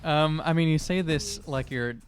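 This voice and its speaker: A man playing a tabletop role-playing game, chatting casually in a halting, wry, jokey way. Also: a little high. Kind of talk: casual role-play chat. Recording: music playing behind the voice. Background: background music.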